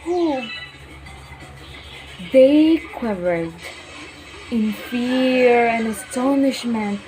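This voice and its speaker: A young woman performing a bedtime story for children in a theatrical, deliberate manner. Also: sits high, expressive, faint music behind, poor audio. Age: young adult.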